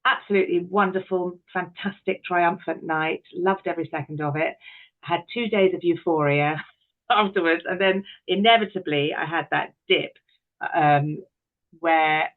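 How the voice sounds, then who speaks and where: A woman's voice: fairly low pitch, normal speed, phone-quality sound. A middle-aged woman, talking candidly and expressively as an interview guest. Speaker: interview guest, actress, writer and director.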